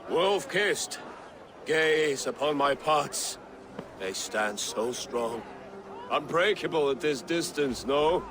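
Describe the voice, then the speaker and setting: An actor playing a drunken, boastful Viking lout in a video game, teasing and bombastic. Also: male voice, fairly high pitch, animated, slow, noisy background. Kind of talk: video game dramatic acting.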